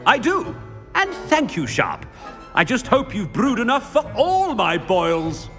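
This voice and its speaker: An actor playing a pompous, impatient headmaster with halting, haughty bluster, in a video game cutscene. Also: male voice, very high voice, huge pitch swings, sharp tone, faint music behind, heavily acted. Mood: flustered, imperious. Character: pompous, brusque male headmaster, played as a flustered student in disguise.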